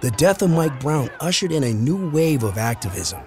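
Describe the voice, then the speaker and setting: A man's voice: fairly high pitch, big pitch swings, moderate pace, darkish tone, faint music behind, a faint crowd. A man hosting a documentary podcast, reading scripted narration.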